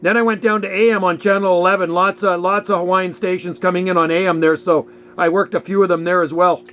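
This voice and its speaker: A man's voice: high voice, flat delivery, fast talker, fairly bright tone. A man chatting casually and easily as a radio operator making a contact, sometimes repeating himself. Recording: faint background noise and hum.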